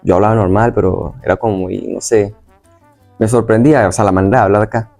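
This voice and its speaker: A man's voice: on the low side, fairly even intonation, fast talker, a little mellow, faint music behind. A young man from Venezuela answering as an interview guest, casually recalling his experiences in a slightly hesitant, circling way. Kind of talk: casual personal recollection.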